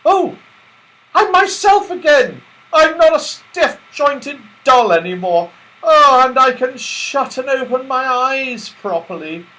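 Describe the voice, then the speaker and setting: An excitable little storybook girl acted by a man, high and very animated. She gasps and exclaims sweetly. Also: slow pace, exaggerated voice, rough recording. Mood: breathless relief. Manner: gasping, exclamatory.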